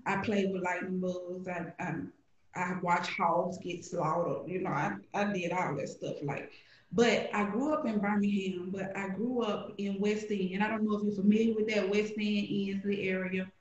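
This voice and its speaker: A middle-aged woman from Alabama with a Southern accent, telling stories in an animated, playful way as a guest on a podcast. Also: medium pitch, moderate pace, roomy sound, highs cut off. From Alabama, USA. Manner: animated storytelling, playful, acts out voices in quotes. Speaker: guest, grocery shopper. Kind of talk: casual podcast conversation.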